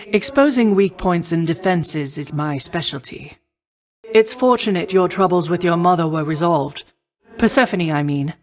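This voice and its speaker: A woman voicing a noble, composed goddess of wisdom and war in a video game, with formal, measured and stately diction. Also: fairly low pitch, close to an everyday voice. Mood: gracious, thoughtful. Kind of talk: scripted video game voice acting.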